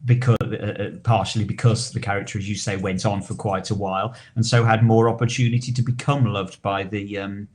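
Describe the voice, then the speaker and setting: Low-voiced man chatting on a podcast. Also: little pitch movement, darkish tone. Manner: wordy, halting, repeats and rephrases himself. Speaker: podcast co-host. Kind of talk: casual podcast discussion.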